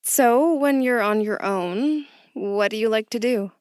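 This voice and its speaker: A woman's voice: a little high, measured pace, a little mellow, smooth, no echo, studio quality, natural voice. A woman playing a bookish, good-humored new employee in an audio drama, talking in a mild, playful, conversational way. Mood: friendly. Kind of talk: naturalistic audio drama acting.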